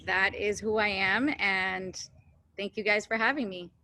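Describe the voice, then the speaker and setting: A woman's voice: medium pitch, steady tone, unhurried, sharp tone, a little hiss or hum. A woman working in public relations, introducing herself on a video call panel steadily with fillers. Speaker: PR executive, panelist.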